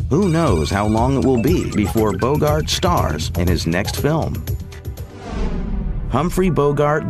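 A man reading scripted documentary narration. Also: mid-range voice. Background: music.

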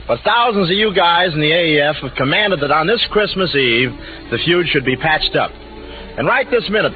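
A man hosting in 1940s wartime radio style, announcing to an audience of servicemen. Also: sits high. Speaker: radio show master of ceremonies. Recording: tinny old 1940s radio broadcast.

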